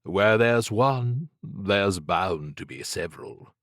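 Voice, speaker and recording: A man voicing an urbane, condescending, androgynous occult agent for an audiobook, in a languid purr with drawn-out phrasing. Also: on the low side, animated, fairly bright tone, no room sound, studio quality. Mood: smug, advising. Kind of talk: audiobook character acting.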